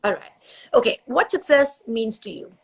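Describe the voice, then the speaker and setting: A woman's voice: a little high, very animated, moderate pace, vocal fry, slightly rough. A woman hosting a casual live video-call chat, asking brief questions. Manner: brief interviewer acknowledgments and questions. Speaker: host.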